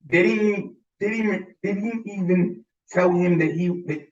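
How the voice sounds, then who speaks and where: A man's voice: high voice, a little slow, far from the mic. A man co-hosting a podcast, arguing in casual debate and insisting, repeating himself as he makes his point. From Haitian, Dominican and Italian descent. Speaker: podcast co-host. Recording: roomy, dull sound from off-mic.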